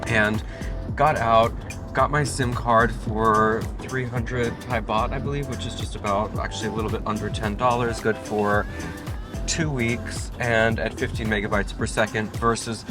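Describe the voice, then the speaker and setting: A man's voice: on the low side, steady tone, measured pace, over music. A man narrating a casual travel vlog, chatty and upbeat, talking to viewers as he moves from an airport to a car. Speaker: travel vlogger. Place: airport terminal, then car on the road.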